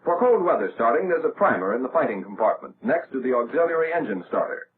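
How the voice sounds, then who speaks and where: An American man narrating a 1940s military training film in a measured, instructional way, as a scripted voice-over. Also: mid-range voice, sing-song. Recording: tinny, band-limited, noisy old film soundtrack.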